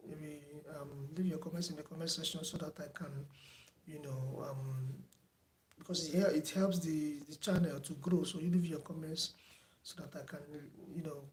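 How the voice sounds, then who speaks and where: A soft-spoken man closing an online driving theory class, talking unhurriedly with many fillers and repeats. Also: on the high side, steady tone, normal speed, slight background noise. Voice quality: soft-spoken, says he does not shout. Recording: echoey room with faint background ambience.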